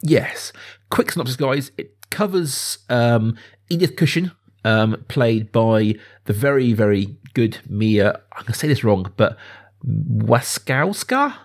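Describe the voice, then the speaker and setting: A man's voice: mid-range voice, animated, a little slow. A man hosting a podcast in a casual, chatty way, discussing film informally with asides to the listeners. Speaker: podcast host.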